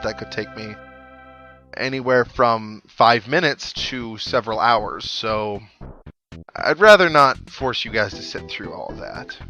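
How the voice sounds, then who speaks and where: A man giving casual, wry let's play commentary on a video game, thinking aloud with dry humour. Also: medium pitch. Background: video game music.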